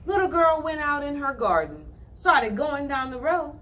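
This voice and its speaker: A woman telling a children's story with deliberate phrasing, addressing young listeners directly. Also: high voice, very animated, a bit bright, far from the mic.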